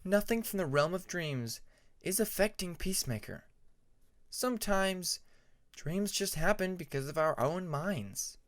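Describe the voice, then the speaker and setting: Man acting as young female dragon, raised pitch, calm, patient, measured, earnest, fantasy storybook character. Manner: measured, explanatory.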